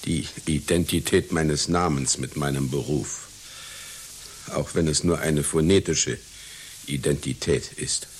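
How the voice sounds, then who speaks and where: An actor playing a pompous, proud clown with a doctorate, speaking in deliberate, formal diction in a satirical radio play. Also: male voice, extremely low pitch. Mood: pompous, measured. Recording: hissy radio play recording.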